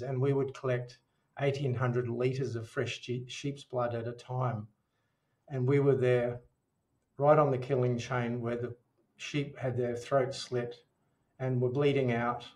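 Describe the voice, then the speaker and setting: A middle-aged man with a New Zealand accent, speaking as an interview guest in a measured, reflective way. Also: medium pitch, a little mellow, poor audio. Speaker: podcast guest, dietitian. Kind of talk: interview storytelling.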